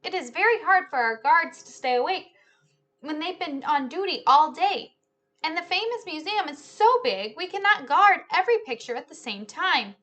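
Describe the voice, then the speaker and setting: A woman's voice: extremely high pitch, expressive, moderate pace, fairly bright tone, pitched above the performer's own voice. A woman voicing a worried, important male museum director in a children's storybook. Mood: despairing.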